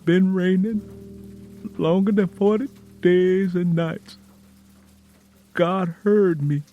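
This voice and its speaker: Man as a slow, despairing rural Southern woman. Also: high voice, fairly even intonation, narrow, tinny audio, faint music behind, voice pushed up. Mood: fatalistic. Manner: slow, drawn-out, hollow.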